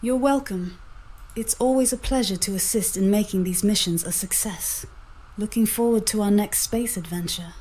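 A woman's synthetic voice with a soft, dark tone and medium pitch. She speaks as an AI companion, in polite and neatly formed assistant-style sentences. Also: normal speed, breathy edge, rough recording. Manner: polite, polished, formal phrasing. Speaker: AI mission companion. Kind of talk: scripted assistant speech.

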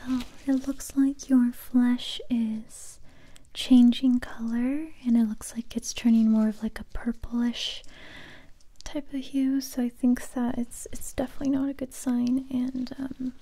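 A young woman performing an ASMR roleplay in a soft, breathy whisper close to the microphone, gentle, hesitant and unhurried. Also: a little high, little pitch movement. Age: young adult.

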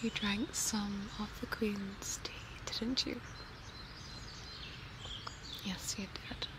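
A woman's voice: mid-range voice, steady tone. A woman in her twenties playing a serene young cult member in a whispered, soothing ASMR roleplay, close to a binaural mic. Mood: serene, reverent. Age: 20s. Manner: hushed, slow, soothing, often whispering. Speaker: ASMR performer. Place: outdoors among trees. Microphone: close binaural microphone. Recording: outdoor nature noise, birdsong.

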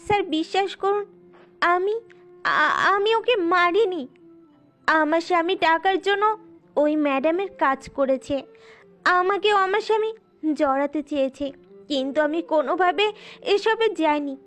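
A woman plays a frightened young wife in an audio melodrama. Her voice is extremely high, hesitant and stammering with anxiety, over background music. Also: unhurried, pauses often, fairly bright tone. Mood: pleading. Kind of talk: melodramatic audio story acting.

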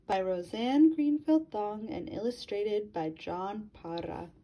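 A woman's voice: medium pitch, slow, dull audio. A woman reading a picture book aloud to children in a sing-song manner, addressing her young listeners directly.

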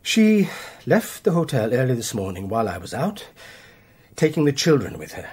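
A smooth but anxious physician in a radio play. His middling-pitched voice is husky, and he speaks with formal, measured politeness. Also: wildly swooping pitch, a little mellow, full character voice. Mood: earnest, concerned.

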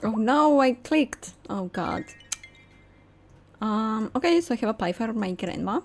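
A young woman gives casual gaming commentary, thinking aloud. Her voice is a bit high and slow-paced, with soft game music underneath. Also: lively intonation. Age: young adult. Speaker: gamer, host. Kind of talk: casual let's play commentary.

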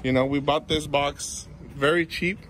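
A man's voice: on the high side, steady tone, a little slow, fairly bright tone. A man behind the camera asking curious questions about the build, recorded outdoors in a driveway. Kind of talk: casual interviewing. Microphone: camera mic, holding the camera. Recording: noisy outdoor audio.

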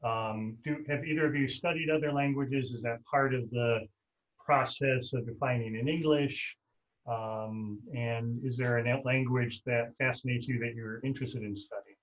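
A man's voice: mid-range voice, steady tone, moderate pace, muffled recording. A middle-aged man hosting a casual video-call chat from a room at home, loose and rambling as he corrects himself mid-sentence. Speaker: host.

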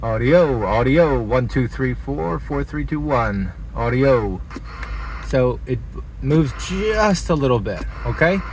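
A man's voice: mid-range voice, expressive, slow pace, poor audio. A man giving a casual, hands-on demonstration in a plainspoken, enthusiastic way, as a hobbyist vlogger. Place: inside a parked vehicle and outdoors in a driveway. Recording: clear background noise from car and outdoors.

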